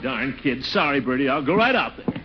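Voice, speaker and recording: A man plays a pompous, nosy middle-aged uncle in a 1940s radio comedy. He exclaims and chuckles, his pitch leaping up and down. The old recording is dull and noisy. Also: sing-song, a little fast, crowd noise, lightly acted. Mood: concerned, grumbling.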